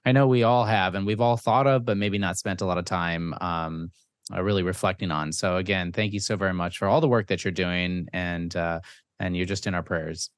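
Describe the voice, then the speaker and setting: A man's voice: deep voice, steady tone, a little fast, smooth, no echo, clean studio sound. A man hosting a podcast interview in a conversational way, earnest and appreciative toward others. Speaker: podcast host.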